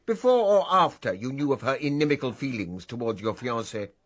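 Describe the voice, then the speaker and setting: A man's voice: mid-range voice, animated, fairly quick, natural voice. A man playing a dry, authoritative upper-class English senior investigator in a radio mystery, formal and pointed. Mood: formal, questioning. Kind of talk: 1920s country-house radio mystery acting.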